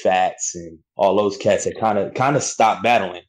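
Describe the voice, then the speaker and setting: A young man with a low voice talks in a loose, slangy way. He keeps dropping "you know what I'm saying" as he tells his story, and his delivery is relaxed and unhurried. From United States. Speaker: battle rapper guest. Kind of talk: casual interview chat.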